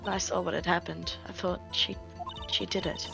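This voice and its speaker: A woman voicing a serious chief prosecutor giving measured testimony in a live fan dub of a game. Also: lowish voice, level tone, creaky, over music. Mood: confessing. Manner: measured testimony, breaks into laughter.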